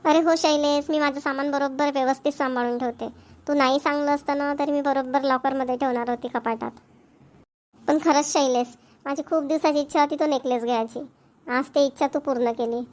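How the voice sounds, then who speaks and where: Woman playing a young wife, chatty, high voice. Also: fairly even intonation, fairly quick, lightly acted. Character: young wife, happy and proud.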